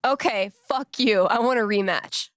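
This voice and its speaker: A woman's voice: on the high side, moderate pace, fairly bright tone, dry recording, clean studio sound, lightly acted. An actress playing a wry, casual young woman in a video game, teasing and loyal. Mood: playful, teasing. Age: young adult. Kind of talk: naturalistic video game dialogue acting.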